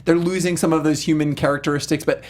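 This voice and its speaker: A man's voice: a little high, fairly even intonation, quick pace. A man co-hosting a review show, chatting casually and emphatically, repeating words for stress. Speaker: co-host of a film review show.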